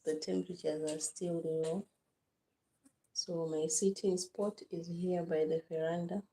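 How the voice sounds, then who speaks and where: A hoarse woman from Zimbabwe hosts a morning live stream from her sunny veranda, her phone lying on the floor. Her voice sits low and gravelly, and she talks unhurriedly, pausing often on drawn-out fillers. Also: dark tone, low-quality recording. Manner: slow, halting, with many "uh" fillers. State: hoarse, just woken up. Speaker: livestream host. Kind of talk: casual live-stream chat.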